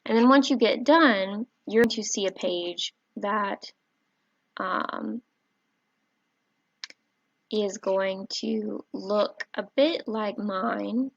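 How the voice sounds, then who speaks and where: A soft-spoken woman, a teacher, gently walking viewers through a website on screen, with a warm voice and frequent fillers. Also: mid-range voice, drawn-out words, vocal fry, pauses often, dry recording. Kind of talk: screen-recorded tutorial walkthrough. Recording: dry, slightly dull home recording.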